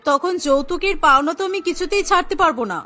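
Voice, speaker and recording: A woman playing a shrill, scornful mother-in-law. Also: fast, bright, forceful tone. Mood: angry, scornful. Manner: scolding, disdainful. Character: harsh, greedy, scornful middle-aged mother-in-law. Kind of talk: Bengali cartoon melodrama voice acting.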